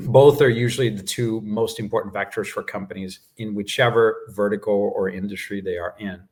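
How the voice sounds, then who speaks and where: A man whose first language is Portuguese, calmly explaining his work as a guest in a business interview, with frequent fillers. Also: fairly low pitch. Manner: measured explanations with frequent "uh" and "right?". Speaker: guest executive.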